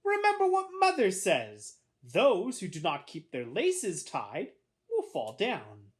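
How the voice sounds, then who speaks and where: A woman voicing a bossy, nagging older sister in a storybook, scolding with drawn-out emphasis. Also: medium pitch, wildly swooping pitch, pitched above the performer's own voice.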